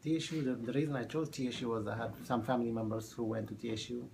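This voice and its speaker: Ethiopia-born guy in his 30s, gravelly low voice, lots of uh and um, slight noise. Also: steady tone. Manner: halting, many fillers and restarts. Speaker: math teacher. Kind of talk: oral history interview.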